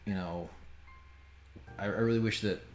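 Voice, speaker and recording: A man with a low voice chats through a live game stream in a rambling, opinionated way. The room is echoey, and game music plays faintly behind him. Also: fairly even intonation, normal speed. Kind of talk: casual live stream commentary. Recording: roomy sound under game audio.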